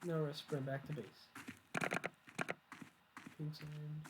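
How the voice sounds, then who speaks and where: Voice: medium pitch, flat delivery, slow, loud music behind the voice, rough recording. A congested person giving casual, teasing commentary while playing a video game at a computer. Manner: teasing, joking asides. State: congested, stuffy nose. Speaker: gaming video creator. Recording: loud background noise.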